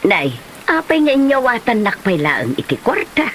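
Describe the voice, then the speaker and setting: Woman acting a nagging exasperated mom, highish voice jumping all over, comedy drama, noisy recording. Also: very animated, normal speed. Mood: scolding, exasperated. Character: nagging, suspicious mother, sharp-tongued and exasperated. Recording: noisy location sound.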